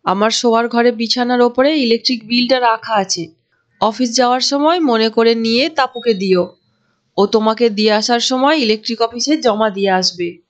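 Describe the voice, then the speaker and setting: A woman's voice: a little high, normal speed, highs cut off, close to an everyday voice. A woman voicing a caring, homely mother-in-law in a cartoon. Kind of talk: Bengali cartoon voice acting.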